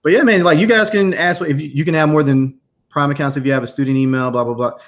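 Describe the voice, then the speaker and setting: A man's voice: on the high side, steady tone, fast. A man chatting casually and earnestly as a guest promoting his course, full of slang and repetition.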